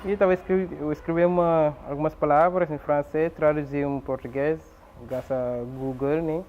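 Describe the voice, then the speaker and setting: A man who arrived as an immigrant, a native French speaker, telling his story haltingly in an interview. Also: fairly high pitch, fairly even intonation, phone-quality sound, a little hiss or hum. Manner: halting, searching for words in a learned language. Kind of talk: interview testimony.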